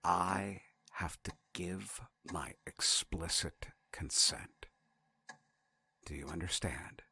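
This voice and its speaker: A man playing a sardonic, foul-mouthed, fiercely protective wanderer from another reality in an audio drama, halting and muttering. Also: low-pitched, creaky, sharp tone, husky, no room sound, heavily acted. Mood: wry, guarded.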